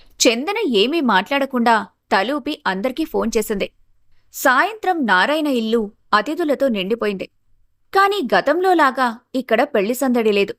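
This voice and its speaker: Female storyteller, higher-pitched voice, scripted narration, very dry close sound. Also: expressive, moderate pace, no room sound.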